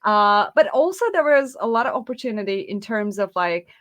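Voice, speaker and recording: A woman's voice: medium pitch, lively intonation, a little fast, muffled recording. A woman hosting an interview, friendly and chatty, laughing often and using many fillers. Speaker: interview host. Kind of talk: casual interview.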